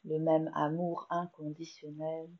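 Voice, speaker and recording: A woman with a French accent slowly guiding a yoga nidra meditation in a soft, soothing voice. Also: low-pitched, flat delivery, muffled recording. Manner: slow, soft, soothing guidance with long pauses. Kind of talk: guided meditation.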